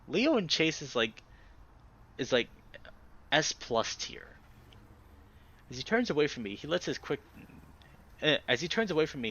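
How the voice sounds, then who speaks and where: A man hosting a let's play, reading a visual novel aloud and commenting casually and expressively. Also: medium pitch. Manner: expressive reactions, swears casually.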